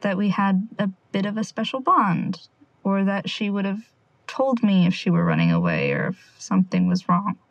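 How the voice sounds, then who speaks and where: A woman playing a wry young amateur investigator in an audio drama, quietly talking through her notes in a rambling, sardonic, confiding way. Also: medium pitch, very animated, moderate pace, dry recording, dull audio. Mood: wistful. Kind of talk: audio drama monologue, casual confiding log.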